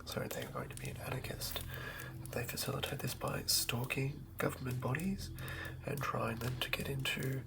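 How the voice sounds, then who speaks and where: A man whispers breathily in an ASMR style, with a crackly fry in the voice. He takes his time, murmuring half to himself as he improvises, close to the microphone. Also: medium pitch, steady tone. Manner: unhurried, murmuring to himself as he works. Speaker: ASMR host.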